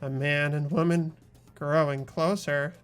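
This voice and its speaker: A man voicing a pompous young male otaku student in a game, speaking slowly and theatrically. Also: on the high side, flat delivery, clean tone, faint music behind, lightly acted. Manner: slow, theatrical, flowery delivery.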